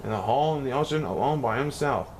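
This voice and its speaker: A man's voice: medium pitch, lively intonation, fast, slight background noise. A man reading a children's picture book aloud in rhyme, with theatrical, playful emphasis. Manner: theatrical, playful rhyming delivery with exaggerated emphasis. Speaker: storybook reader.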